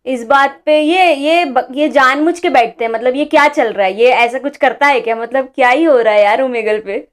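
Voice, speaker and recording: A woman's voice: high voice, moderate pace, hardly any pauses. A young woman giving casual, mocking reaction commentary as a vlogger, exclaiming at what she watches.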